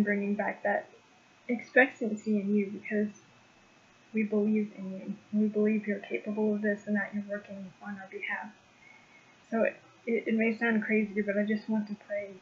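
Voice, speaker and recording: Earnest flat-toned co-host praying over a phone line. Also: medium pitch. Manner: earnest, measured, steady. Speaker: co-host leading prayer. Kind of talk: spoken prayer. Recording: thin phone-like call audio.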